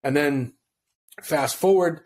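A man from Hawaii in his fifties, a podcast guest, telling a personal story in a relaxed, rambling way and acting out quotes, on a podcast mic at home. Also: a little high, takes it slowly. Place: home room on video call. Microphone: podcast mic in front of him.

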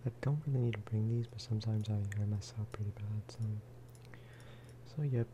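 A man giving soft-spoken ASMR commentary over a game, casual and rambling. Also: lowish voice, brisk, noisy background. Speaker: gaming video creator.

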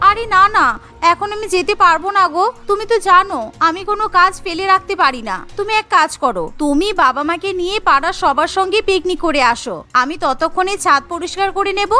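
A woman's voice: very high-pitched, a little fast, bright, forceful tone, soft background music. A woman voicing a busy, chore-obsessed young housewife in a cartoon, fussy, chatty and insistent. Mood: busy, cheerful. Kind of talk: cartoon dialogue.

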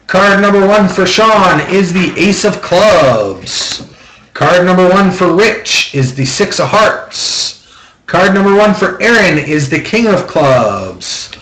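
A man with a slightly high voice hosts a card draw at a tabletop. He takes his time, announcing each step in a methodical, repetitive pattern. The small room around him adds an audible echo. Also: a bit bright, slight background noise. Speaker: host of a trading-card box-break auction. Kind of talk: running card-deal commentary. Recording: roomy echo.

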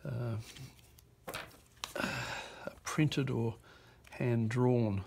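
A man presenting an auction lot, reading postcard captions aloud in short, unhurried bursts at a desk. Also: medium pitch, steady tone, creaky, a little mellow, slight background noise. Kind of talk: reading out postcards while browsing. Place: indoor office desk.

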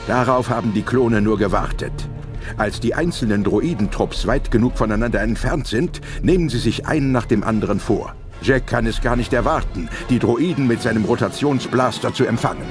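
A man's voice: mid-range voice, moderate pace, music in the background. A man narrating a scripted audio drama as the storyteller.

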